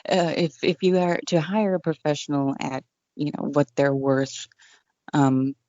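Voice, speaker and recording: A woman with a low voice, chatting casually as an interview guest, relaxed and self-deprecating, on a condenser mic at home. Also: a little slow, no room sound. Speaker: guest, online radio DJ. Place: home room without acoustic treatment. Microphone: condenser desk mic with pop screen. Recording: dull, dry home recording.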